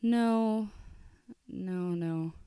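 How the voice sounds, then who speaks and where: Woman playing a spooked, halting radio caller. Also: on the low side, mellow, smooth, no echo, clean studio sound. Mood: flustered. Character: frightened woman caller telling a spooky story.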